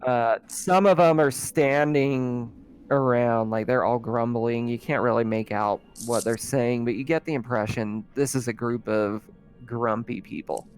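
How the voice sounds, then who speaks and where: A man's voice: mid-range voice, a little slow, a little mellow, faint music behind. A man speaking casually as game master, improvising narration for a tabletop roleplaying game. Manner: casual, conversational.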